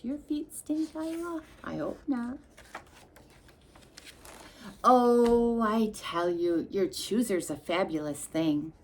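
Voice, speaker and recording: A woman reading a storybook aloud to young children, expressive and sing-song, with chatty asides addressed straight to the listeners. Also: fairly high pitch, slow, long pauses, slight background noise.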